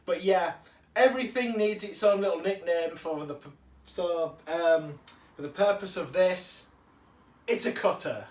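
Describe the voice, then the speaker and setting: A man's voice: high voice, a little slow, slight background noise. Man with a cold, chatty. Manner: chatty, enthusiastic, self-correcting asides. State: has a cold. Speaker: toy collector vlogger. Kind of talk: casual unboxing chat. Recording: roomy, distant mic.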